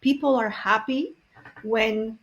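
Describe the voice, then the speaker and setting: A woman, a guest in an interview, talking earnestly and reflectively with frequent pauses and fillers. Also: on the high side, steady tone, lo-fi sound. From Bulgaria. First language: Bulgarian. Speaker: guest expert on multilingual parenting. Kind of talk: conversational interview.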